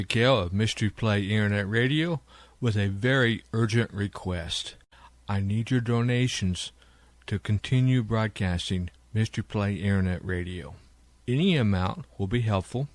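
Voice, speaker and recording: Male radio host, scripted appeal. Lower register, earnest, dry close sound. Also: dry recording. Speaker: podcast and internet radio host.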